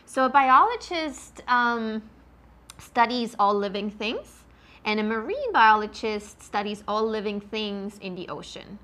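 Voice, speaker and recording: A woman's voice: medium pitch, animated, unhurried, pushed and bright. A woman from Switzerland with a Swiss accent, a marine biologist answering interview questions in an enthusiastic, explanatory way, with many ums.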